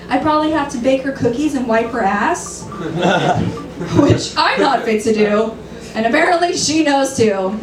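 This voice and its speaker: A woman in her thirties doing a dry, self-deprecating stand-up set, sometimes shrill and laughing at her own jokes. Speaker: stand-up comedian. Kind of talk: stand-up set at an open mic. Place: comedy club stage. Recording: roomy, distant, noisy club recording.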